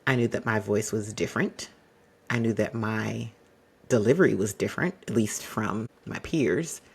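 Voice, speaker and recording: A man hosting an advice podcast, talking straight to the listener. His voice is husky with a gravelly edge and stays mostly monotone. His delivery is measured and exacting. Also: on the low side. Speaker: podcast host.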